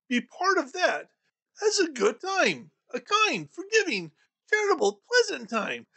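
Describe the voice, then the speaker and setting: A man doing a high, bright eager young nephew. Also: creaky, full character voice. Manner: warm-hearted, pleading and emphatic. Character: cheerful earnest young man, kind nephew. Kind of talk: storybook reading.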